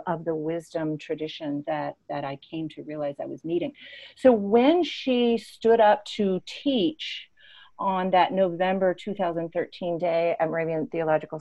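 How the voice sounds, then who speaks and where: A woman in her fifties from East Africa, an interview guest telling reflective personal stories in an expressive, emphatic way. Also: lowish voice, muffled recording. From born and raised in East Africa.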